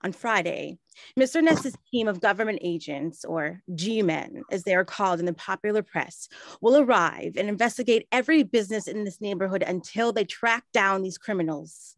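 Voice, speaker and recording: A woman's voice: medium pitch, expressive, normal speed, no room sound, close to an everyday voice. A woman playing an earnest, proper 1920s woman in an audio drama, explaining things carefully.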